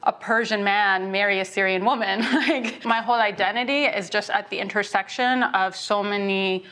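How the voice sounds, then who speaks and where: Young woman artist on a panel, reflective, clear talker, normal pitch, lapel mic. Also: fairly even intonation, moderate pace, fairly bright tone. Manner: reflective, articulate. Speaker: artist and educator, panel guest. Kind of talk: panel discussion. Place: indoor studio set.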